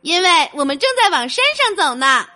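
A woman's voice: high-pitched, very animated, brisk, pushed and bright, faint music behind, exaggerated voice. A woman voicing a cheerful, playful elephant in a children's storybook, eager and encouraging. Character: cheerful, playful young elephant leading the herd.

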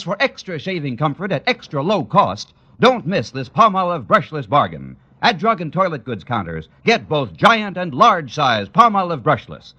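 A man's voice: on the high side, fairly bright tone, breathy edge. A man reading a commercial in 1940s radio advertising style. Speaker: commercial announcer. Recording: dull vintage radio recording.

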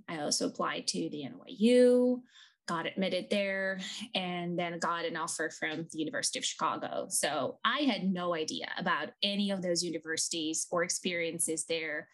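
A woman with a Ukrainian accent speaks as a lawyer guest in an interview. Her voice sits a little low, and she talks in a thoughtful way with plenty of ums. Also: fairly bright tone. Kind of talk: conversational interview.

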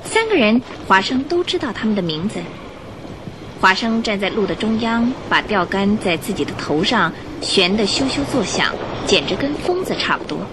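A woman narrating a radio drama in an expressive, dramatic storytelling style. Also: mid-range voice, normal speed, background noise. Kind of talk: scripted Mandarin storytelling narration. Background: music.

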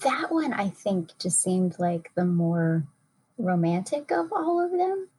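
A woman's voice: medium pitch, very animated, slow, mellow, slight background noise, poor audio. A woman chatting casually as a guest, a little hesitant as she thinks aloud. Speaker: podcast guest, a parent. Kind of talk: casual chat.

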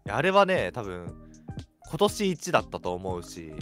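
A man's voice: on the low side, normal speed, music in the background. A young man co-hosting a radio show, explaining things casually with an enthusiast's eagerness. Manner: enthusiastic, expressive.

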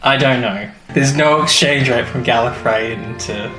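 A man's voice: mid-range voice, normal speed. Chatty man co-hosting in a roomy space. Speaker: podcast co-host, the fan. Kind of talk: casual banter about a sci-fi series. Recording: roomy echo, faint music behind.

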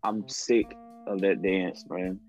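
A man's voice: deep voice, flat delivery, takes it slowly, a little mellow. A man in his late twenties chatting on a sports podcast, slangy and mock-grumpy like an old head. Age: late 20s. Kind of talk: casual chat. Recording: slightly dull audio, faint music underneath.

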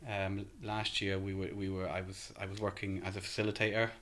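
This is a man in his thirties with an Irish accent, talking casually as a podcast guest. His voice sits very low. He hesitates and restarts often, filling gaps with ums. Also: level tone, fairly quick, a bit bright, a little hiss or hum. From Ireland.

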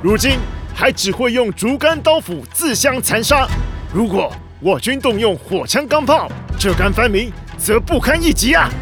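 A man acting in a radio drama as a scheming, flattering informer with an oily, sneering manner. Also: very high voice, moderate pace, faint music behind, heavily acted. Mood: scornful, boastful. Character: scheming, sycophantic male informer with a silver tongue.

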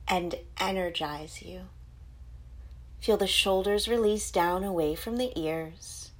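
A woman leading a guided meditation as host, giving soothing instructions in short phrases with pauses between them. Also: fairly low pitch.

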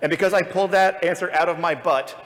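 Fast, higher-voiced man in an echoey hall. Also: a bit bright. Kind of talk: casual panel chat. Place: large convention panel room with audience. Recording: echoey convention hall, live panel.

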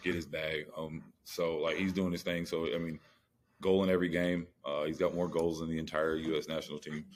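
Fast, very deep-voiced man talking sports. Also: level tone. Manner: informal, slangy, repeats phrases. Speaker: sports podcast co-host. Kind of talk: casual sports analysis.

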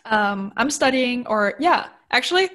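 Bubbly young Danish woman, higher voice. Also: animated, a little slow, a bit bright. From Denmark. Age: 20s. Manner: giggly, chatty, self-conscious. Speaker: podcast co-host. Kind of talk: casual chat. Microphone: phone call.

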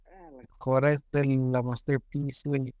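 A man's voice: medium pitch, level tone, measured pace, dark tone, no room sound. A young man with a Monterrey accent, co-hosting a casual podcast and joking in short slangy remarks. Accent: northern Mexican (Monterrey). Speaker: podcast co-host. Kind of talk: casual chat.

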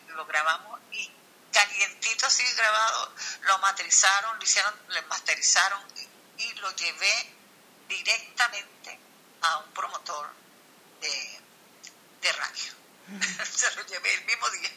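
A woman singer from Venezuela tells a story in a relaxed, rambling way, stumbling over her words and restarting often. Her voice is very bright with a husky grain. The sound has the thin quality of an online call. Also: medium pitch, moderate pace, poor audio. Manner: rambling, many restarts and fillers. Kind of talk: casual storytelling in an online chat.

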